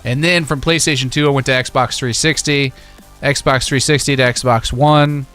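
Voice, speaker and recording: A man with a smooth, clean, medium-pitched voice talks casually about video games. He is in his thirties and reminisces with slangy enthusiasm, with music playing behind him. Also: fairly even intonation, normal speed, a bit bright.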